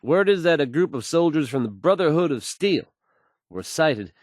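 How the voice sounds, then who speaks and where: An actor playing a confident, smooth-talking radio DJ in a game, delivering fluent and assured announcements. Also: male voice, a little high, lively intonation, moderate pace, a little mellow, no room sound, studio quality, natural voice. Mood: intrigued.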